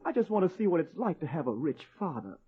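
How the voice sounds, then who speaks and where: Lively, earnest, hopeful teenage son. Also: male voice, a little high, fast. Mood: pleading. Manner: earnest, pleading. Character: eager, hopeful eighteen-year-old son, earnest dreamer. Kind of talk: old-time radio drama acting. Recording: muffled old radio recording.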